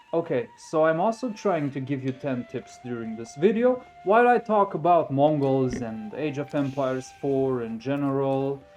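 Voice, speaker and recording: A young man talks in a relaxed, reflective way, as though thinking aloud. His voice sits a touch high for a man. Soft music plays quietly behind him. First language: Romanian. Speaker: gaming YouTuber. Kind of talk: casual commentary over a game replay.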